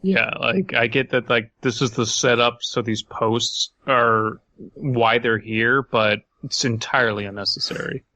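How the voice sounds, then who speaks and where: A man's voice: medium pitch, fairly even intonation, measured pace, sharp tone, dry recording, dull audio. A man hosting a podcast, chatting casually and reading a story aloud with dry, skeptical asides. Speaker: podcast host.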